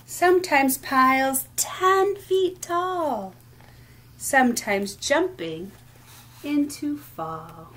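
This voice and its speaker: A woman hosting a children's story time, reading a picture book aloud expressively in short, deliberate phrases. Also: high voice, animated, fairly bright tone, slight background noise.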